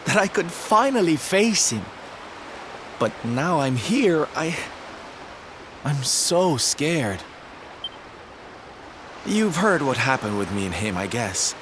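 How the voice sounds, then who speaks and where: A man's voice: on the high side, very animated, takes it slowly, pauses often, background noise. An actor playing a flamboyant, sensitive male circus performer in a video game, camp and dramatic in his delivery. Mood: nervous, confiding. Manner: camp, dramatic, sighing, coy. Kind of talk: video game character acting.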